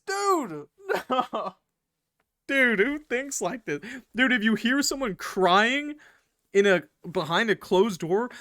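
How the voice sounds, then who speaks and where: Higher-voiced man reading a visual novel. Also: no room sound, studio quality. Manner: expressive, informal. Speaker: gaming video creator. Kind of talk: visual novel let's play, reading aloud with casual reactions.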